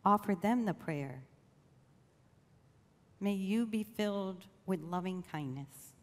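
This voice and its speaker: A woman leading a guided meditation in a slow, gentle, soothing way with long pauses. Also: medium pitch, expressive. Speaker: church meditation leader. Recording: long reverb of a large room.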